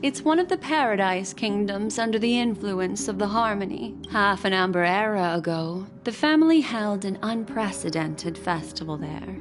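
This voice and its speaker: A woman's voice: mid-range voice, animated, normal speed, hardly any pauses, over music, natural voice. A woman playing a composed, knowing strategist in a video game, explaining things in a poised way. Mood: composed, serious. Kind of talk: English video game voice acting, expository.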